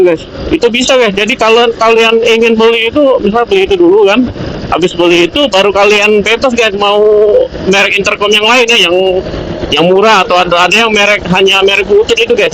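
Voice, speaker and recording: A man's voice: sits high, sharp tone. An Indonesian man motovlogging, casually rambling through a product review in an informal, hesitant, repetitive way as he thinks aloud. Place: outdoors, riding a motorbike on the road. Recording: noisy outdoor traffic and vehicle noise.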